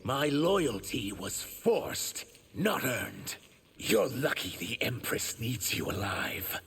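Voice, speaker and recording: An actor playing an earnest, wounded young reptilian shapeshifter warrior in a video game, speaking with guarded defiance. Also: male voice, mid-range voice, big pitch swings, slow pace, creaky, a bit bright, husky, exaggerated voice. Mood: bitter, defiant. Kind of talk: video game fight dialogue.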